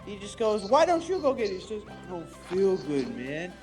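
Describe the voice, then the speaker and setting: A man's voice: high-pitched, huge pitch swings, normal speed, a little mellow, soft background music. A teenage boy, a podcast co-host, rambling through a long story with lots of "I was just like" asides. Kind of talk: casual chat, telling a long story.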